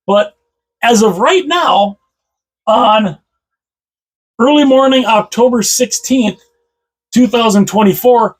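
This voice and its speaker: A man with a slightly hoarse voice, chatting casually about hockey as a channel host, rambling and repeating himself with enthusiasm. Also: high voice, rough recording. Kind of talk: casual solo commentary to camera.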